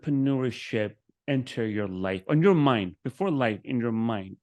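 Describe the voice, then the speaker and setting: A man's voice: medium pitch, animated, normal speed, a little mellow. A man hosting a podcast, interviewing a guest in a friendly, casual, joking way. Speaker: podcast host.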